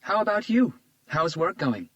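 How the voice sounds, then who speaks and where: A man voicing a playful young woman, high and bright. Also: sing-song, measured pace, dry recording, dull audio. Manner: playful, teasing.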